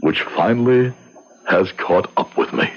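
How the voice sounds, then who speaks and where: An actor in an old-time radio drama playing a dying, remorseful man, bitter and fatalistic, halting and gasping in pain. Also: lowish voice, a bit bright, heavily acted. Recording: dull, noisy old radio recording.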